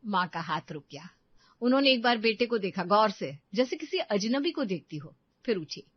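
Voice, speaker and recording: A woman narrating a moral story dramatically, in deliberate short phrases. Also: medium pitch, big pitch swings, slightly rough, highs cut off.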